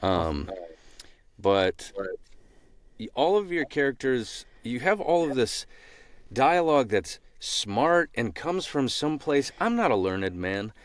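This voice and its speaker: A man with a mid-pitched, very lively voice that moves up and down a lot. He interviews casually as a podcast co-host, with a dry, close sound. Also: slow pace, dry recording.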